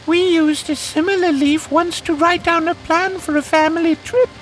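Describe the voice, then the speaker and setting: A man's voice: very high-pitched, fairly even intonation, moderate pace, soft background music, voice pushed up, rough recording. A man voicing a shy, earnest little mouse in a children's fairytale cartoon. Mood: excited, reminiscing. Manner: soft, muttering, earnest. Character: shy little mouse, timid but eager storyteller.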